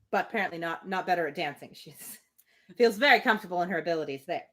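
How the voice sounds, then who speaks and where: A woman reads a classic novel aloud as a livestream host. Her pitch moves in an animated way, and she slips easily between the written prose and playful, conversational asides. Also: quick pace. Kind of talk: live read-aloud with casual asides and commentary.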